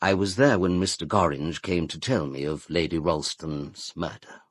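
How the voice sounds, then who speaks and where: A British butler in a radio drama, very low and husky, recorded dry and close. He is unflappable and discreet, choosing each word with stiff, deferential formality. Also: fairly even intonation, normal speed, no echo. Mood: matter-of-fact. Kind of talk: full-cast radio drama.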